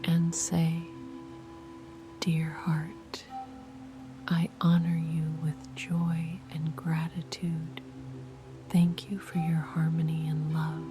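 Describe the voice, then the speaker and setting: A woman whispering soft, soothing guided meditation instructions. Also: low voice, completely flat delivery, takes it slowly, long pauses. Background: music.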